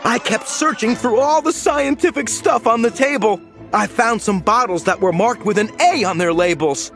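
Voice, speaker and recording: A man narrating an audiobook in the first person with lively storytelling. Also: very high voice, faint music behind. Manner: lively storytelling, slow and animated.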